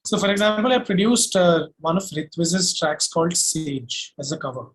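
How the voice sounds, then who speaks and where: A man's voice: on the high side, moderate pace, rough recording. A man with an Indian accent answering interview questions in an emphatic, self-assured way, explaining things methodically point by point. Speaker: singer-songwriter and music producer guest.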